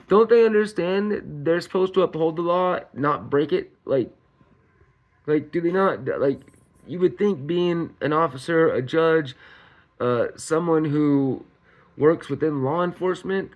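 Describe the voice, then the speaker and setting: A man's voice: a little high, unhurried, dull audio. Man reading news aloud, stumbling over words. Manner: stumbles over words while reading, repeating and correcting himself. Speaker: host of a commentary channel reading news. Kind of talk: reading a news report aloud with casual commentary.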